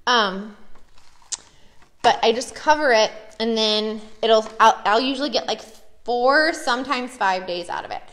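A woman's voice: a little high, a little slow, fairly bright tone. An American woman, a preschool teacher, chatting enthusiastically and casually as she shows classroom activities.

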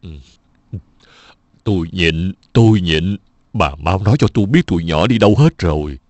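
A man voicing an elderly cartoon grandfather, grumbling and grudging, stern but soft-hearted. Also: low voice, lively intonation, moderate pace, no echo. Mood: grumbling, resigned.

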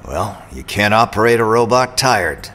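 A man's voice: lowish voice, very animated, normal speed, fairly bright tone, slight background noise. A man playing an irritable, suspicious mercenary robot pilot in an audio drama, sardonic and confrontational. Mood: dry.

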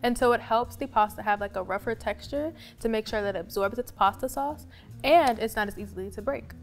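A woman's voice: fairly high pitch, brisk, soft background music. A young woman co-hosting a cooking demonstration in a studio kitchen, presenting food products in an upbeat, agreeable way. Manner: upbeat, frequent agreeing murmurs.

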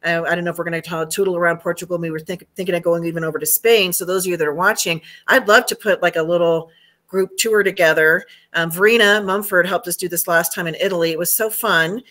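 A woman's voice: fairly low pitch, fairly even intonation, quick pace, a bit bright. A middle-aged American woman hosting a video call, chatting casually in a rambling way. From United States. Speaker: host, home staging trainer. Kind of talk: casual chat. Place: indoor room at home.

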